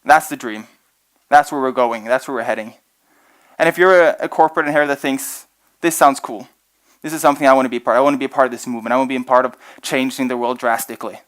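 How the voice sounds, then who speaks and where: Fast-talking young man giving a stage pitch. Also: steady tone, a bit bright. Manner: fast, earnest, many "uh" fillers. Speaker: organization representative presenting at event. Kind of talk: stage presentation to audience.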